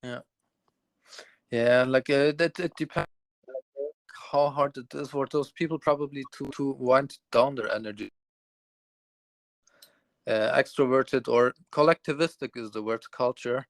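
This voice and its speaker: A man hosting a casual interview podcast, asking loose questions packed with fillers, sometimes in quick bursts. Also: medium pitch, steady tone, vocal fry, no echo, poor audio. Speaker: podcast host.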